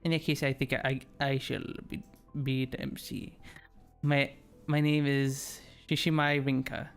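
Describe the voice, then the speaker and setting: A man's voice: mid-range voice, level tone, unhurried, creaky, clear, resonant tone. A man with a mid-range voice hosts a casual visual novel playthrough, switching between reading the story aloud and chatting. He halts a little over unfamiliar words, with music playing faintly behind him. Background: background game music.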